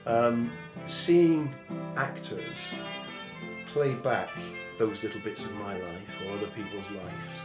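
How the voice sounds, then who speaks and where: A man talking reflectively and haltingly as a theatre musician who leads workshops. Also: lowish voice, steady tone. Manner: thoughtful, halting delivery. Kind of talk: reflective interview talk. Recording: roomy echo, music underneath.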